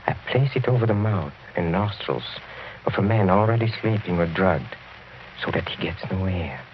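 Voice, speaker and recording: A dull, hissy old radio recording carries a sinister older count. His voice is deep and deliberate, with polished courtesy laid over menace. He speaks as a decadent nobleman in a horror play. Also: lively intonation. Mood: cunning, explanatory. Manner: suave, chuckling, deliberate menace with courteous hesitations. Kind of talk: radio horror drama acting.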